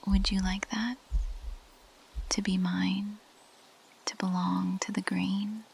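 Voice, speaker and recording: Slow whispering plant monster woman, acted out by a woman. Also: mid-range voice, rough recording. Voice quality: breathy whisper. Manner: intimate, teasing, slow and deliberate. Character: possessive, predatory ancient female plant monster, seductively curious. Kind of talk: ASMR roleplay.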